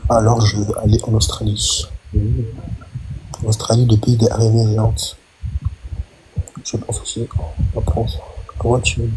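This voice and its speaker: A man's voice: lowish voice, monotone, a little slow, pauses often, noisy background. A man joking with a live stream host, mocking her and sounding incredulous. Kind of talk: casual bantering chat.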